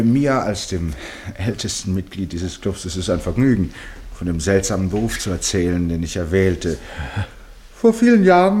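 A man's voice: on the low side, darkish tone, lightly acted. An older man acting in a German radio play as an eccentric, genial club chairman and former judge, speaking formally and grandly. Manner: grandiloquent, precise, self-amused.

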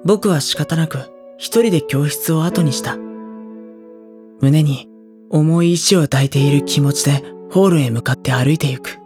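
Introspective teenage schoolboy, played by a woman: low, soft, hesitant, anguished audio drama narration over a clear music bed. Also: normal speed, natural voice. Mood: stunned, dread. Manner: soft-spoken, hesitant, brooding. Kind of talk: Japanese audio drama acting with first-person monologue.

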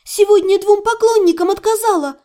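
A woman's voice: very high-pitched, normal speed, mellow, pitched above the performer's own voice. A woman playing a distraught young woman in an audiobook, pleading with her fiancé in a flustered, defensive way. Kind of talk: dramatized audiobook dialogue.

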